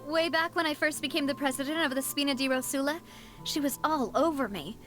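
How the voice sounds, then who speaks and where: A woman's voice: high voice, brisk, fairly bright tone. A woman voicing a confident, cheerful young noblewoman leader in an anime-style video game, with a breezy, self-assured, theatrical delivery. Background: game music.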